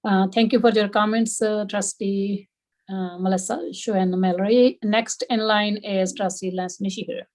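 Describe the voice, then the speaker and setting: A woman's voice: mid-range voice, fairly even intonation, moderate pace, a little mellow. Woman chairing a formal board meeting.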